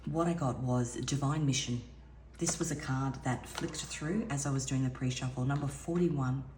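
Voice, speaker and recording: A low-pitched woman with a raspy voice talks through a spiritual tarot reading in an earnest, wandering way. She restarts her sentences often. The recording is roomy and echoey, with a faint hiss underneath. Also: normal speed. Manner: meandering, earnest, with frequent restarts. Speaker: intuitive tarot reader. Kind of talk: spiritual card reading monologue. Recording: echoey room with faint background noise.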